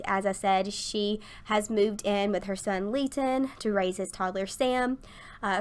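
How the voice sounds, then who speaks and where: Chatty young woman, slightly raspy. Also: mid-range voice. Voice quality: slightly raspy from a sinus infection. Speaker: gaming YouTuber. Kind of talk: casual voice-over commentary.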